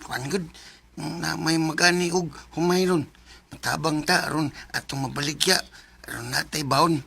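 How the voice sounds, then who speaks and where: A man's voice: a little high, unhurried, vocal fry, sharp tone, breathy edge, exaggerated voice. An actor playing Lotlot, a cheerful village youth who jokes with his friends in a radio drama. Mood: friendly. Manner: friendly and persuasive, bantering.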